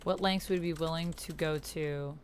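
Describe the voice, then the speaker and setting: A woman with a slightly low voice talks casually through an online roleplaying game. She thinks aloud in halting phrases, reading chat and her own typed lines. Light noise and keyboard typing sit behind her. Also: steady tone. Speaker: streamer and player of a text-based roleplaying game. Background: computer keyboard typing.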